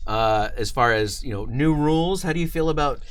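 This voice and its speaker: A man's voice: mid-range voice, lively intonation, fairly quick, clean tone. A man hosting a live stream, interviewing a guest in an informal, enthusiastic way. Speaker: host of a tabletop game stream.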